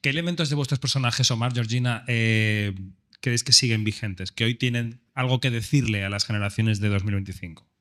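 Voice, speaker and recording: A man moderating a panel at a public event, asking brisk, prompting questions into a handheld microphone. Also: mid-range voice, a bit bright.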